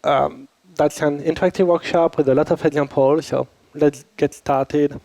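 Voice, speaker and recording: A man with a French accent runs a conference workshop. His voice sits a little high but sounds mellow and warm, and it is recorded close and dry. He talks informally and keeps quizzing the room. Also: fairly even intonation, normal speed, gravelly, no room sound. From Switzerland. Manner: informal, chatty, addresses audience with questions. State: recovering from a cold. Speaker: workshop instructor. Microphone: handheld or lapel microphone.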